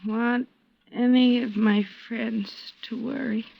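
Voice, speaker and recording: A frail, doped captive wife in a 1950s radio drama. Her highish voice drags slowly, groggy and pleading, on a dull, muffled old recording. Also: little pitch movement, creaky, exaggerated voice. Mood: dazed, weak. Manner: faint, halting, pleading. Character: drugged, frail, frightened captive wife, a woman.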